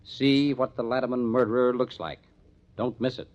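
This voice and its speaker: An actor playing a hard-nosed, determined police detective in an old-time radio crime drama, speaking in a measured, emphatic way. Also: male voice, medium pitch, fairly even intonation, darkish tone, close to an everyday voice. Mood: grimly determined. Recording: dull lo-fi old radio recording.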